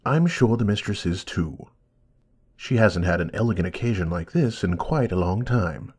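A man's voice: on the low side, expressive, moderate pace, a little mellow, husky. A man playing a reassuring enchanted castle servant in an audio drama.

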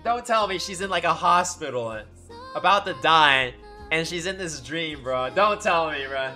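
Male streamer, bright and very animated, casual slangy gushing to his chat, game music underneath. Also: fairly high pitch, moderate pace. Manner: gushing, slangy, says bro and dude often. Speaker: live streamer reacting to game cutscenes. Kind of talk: casual livestream commentary, talking to chat. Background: game music behind the voice.

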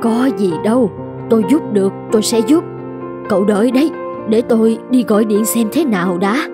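An actress playing a kindly, brisk older woman who runs a noodle shop in a cartoon, plain-spoken and reassuring. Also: fairly high pitch, close to an everyday voice. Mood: helpful. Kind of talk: animated moral-tale voice acting. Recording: music under the voice.

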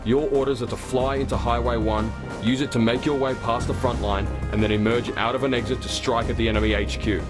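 A man's voice: medium pitch, steady tone, fairly quick, music in the background, close to an everyday voice. Man playing formal, resolute military commander. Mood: firm. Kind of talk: scripted mission briefing.

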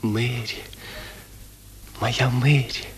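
An actor playing an ardent, proud young gentleman in love, in a 1970s radio play, speaking emphatically. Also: mid-range voice, steady tone, extremely slow, slight background noise. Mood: tender, earnest.

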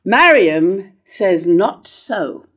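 A woman's voice: medium pitch, sing-song, extremely slow, a bit bright, slightly rough, heavily acted. A woman playing a proud, wronged, defiant queen in a staged reading of verse tragedy, with stately delivery. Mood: indignant, defiant.

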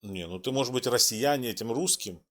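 A man's voice: medium pitch, expressive, brisk, slight background noise. A man from Donetsk hosting a chat-roulette talk, needling and mocking the person he talks to. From Donetsk, Ukraine. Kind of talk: argumentative casual chat.

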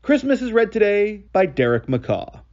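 A man delivers a scripted introduction in a fairly high voice. His intonation swings widely and energetically. The recording sounds a little dull and lo-fi. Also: very animated, moderate pace, a little mellow. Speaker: archivist introducing a reading.

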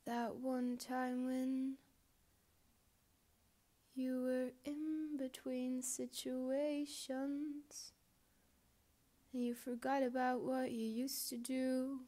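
A woman voicing a lonely, wistful, apologetic balloon in a poetic monologue, with halting, deliberate phrasing and long pauses. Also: high-pitched, little pitch movement, dark tone. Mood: wistful, musing. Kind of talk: slow poetic performance monologue.

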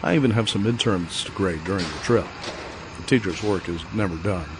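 An actor playing a polite, composed college professor who speaks formally. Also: male voice, deep voice, a little fast, background noise, natural voice. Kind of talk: radio drama acting. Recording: dialogue over music.

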